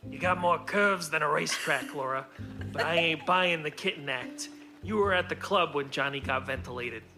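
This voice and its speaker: A man's voice: on the high side, normal speed, over music, natural voice. A man playing a hard-boiled 1940s private detective straight, in clipped radio noir style. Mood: accusing.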